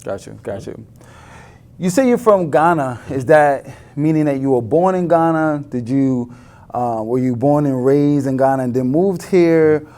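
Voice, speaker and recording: A man's voice: on the high side, expressive, measured pace, warm tone. A man from New York City hosting an interview, asking questions with playful joking asides. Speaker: host.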